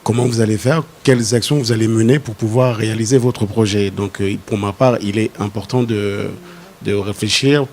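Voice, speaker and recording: A man on a pageant jury, asking measured, probing questions and lecturing a contestant. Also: lowish voice, steady tone, a little mellow, a little hiss or hum. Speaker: jury member. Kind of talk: interview questioning.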